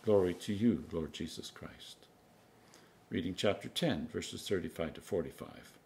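A man in his sixties, an Anglican priest, reading scripture aloud in a measured, solemn, emphatic manner. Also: deep voice, creaky, a little mellow, a little hiss or hum. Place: home kitchen, indoors.

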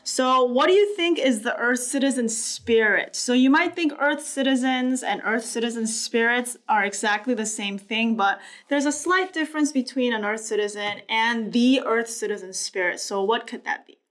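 A woman in her thirties from Korea hosting a podcast, chatting quickly with lots of "like" and "you know". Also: fairly high pitch, a bit bright. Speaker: podcast host. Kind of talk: casual podcast chat. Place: small bright studio room, indoors. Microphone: desk microphone.

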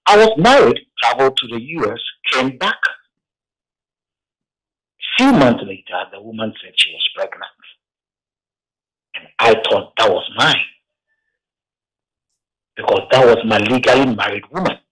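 A man from Ghana, calling in to a radio show by phone and telling his story haltingly, with restarts. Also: mid-range voice, very animated, fairly bright tone, breathy edge, rough recording. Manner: heavy, halting account with restarts. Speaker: caller.

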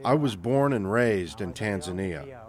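A man's voice: lowish voice, expressive, unhurried, a crowd in the background. A man speaking earnestly in an interview about belonging.

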